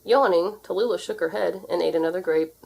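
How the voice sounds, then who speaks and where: A woman reads a children's storybook aloud in an expressive, theatrical narrating style. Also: lowish voice, brisk, gravelly, slightly rough, poor audio. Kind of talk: animated read-aloud narration.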